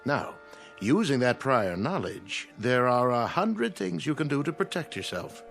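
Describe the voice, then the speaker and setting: Radio play role: eager, emphatic gentleman debater, mid-pitched and highly animated, faint music underneath. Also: measured pace, vocal fry, heavily acted. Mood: persuasive. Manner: persuasive, emphatic. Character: insistent male club debater, eager believer in the improbable. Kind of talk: radio drama acting.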